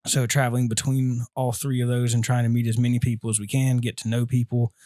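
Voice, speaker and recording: A man in his twenties from North Carolina, a guest answering interview questions in an easygoing, halting way. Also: medium pitch, flat delivery, mellow, dry recording, clean studio sound. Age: 20s. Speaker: guest, aspiring missionary.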